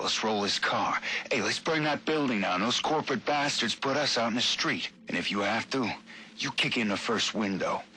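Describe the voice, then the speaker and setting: An actor playing a crooked, coaxing street cop in a video game, talking in a slick, casual, streetwise way. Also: male voice, lowish voice, pushed and bright, slight background noise. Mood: coaxing, pushy. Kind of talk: video game voice acting, audio log.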